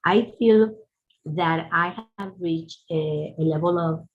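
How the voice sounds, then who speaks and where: A woman's voice: low-pitched, slow pace, muffled recording, a little hiss or hum. A woman speaking to a group on a call in a heartfelt, appreciative way. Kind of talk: group reflection on a video call.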